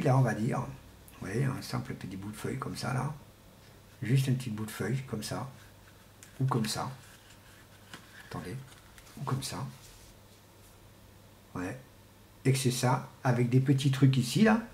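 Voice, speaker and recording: A man giving an informal, rambling monologue to viewers, speaking haltingly and often repeating words and restarting. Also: mid-range voice. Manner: often clears throat. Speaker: vlogger. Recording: echoey room with faint background noise.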